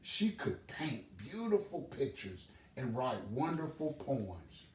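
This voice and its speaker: A man reading a children's storybook aloud as an expressive storyteller. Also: medium pitch, takes it slowly. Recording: roomy, distant microphone, background noise.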